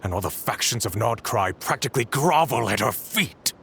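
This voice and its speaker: Game villain, scheming businessman, resentful, pompous, blustering, gravelly, very animated delivery. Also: medium pitch, normal speed, slight background noise. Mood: bitter, resentful. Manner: blustering, dramatic, indignant. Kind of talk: video game voice acting.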